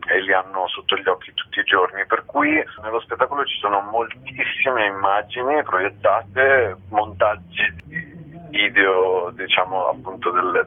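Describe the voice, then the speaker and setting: A man's low voice comes through a narrow, phone-like radio line. He talks as an interview guest in a reflective way, feeling for his words and repeating short phrases as he goes. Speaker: guest theatre actor and author.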